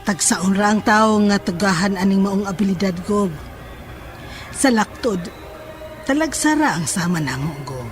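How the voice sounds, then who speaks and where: A woman playing a menacing, self-assured sorceress in a horror audio drama, speaking in a deliberate, sinister way. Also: medium pitch, lively intonation, close to an everyday voice. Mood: confident, boastful. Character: menacing, self-assured female sorceress who casts curses. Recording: music under the voice.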